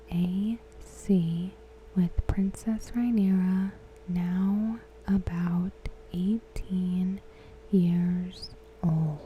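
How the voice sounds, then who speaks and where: A woman reads aloud softly in an ASMR style, in a hushed, breathy whisper with short phrases and long pauses. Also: medium pitch, a little hiss or hum, rough recording. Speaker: ASMR host.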